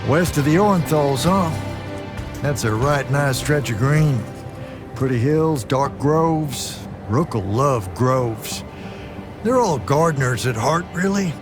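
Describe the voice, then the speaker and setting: An actor playing a salty, folksy veteran soldier in a video game cutscene, wry and gruffly fond in manner. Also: male voice, medium pitch, expressive, slow pace, music in the background. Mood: wry, musing.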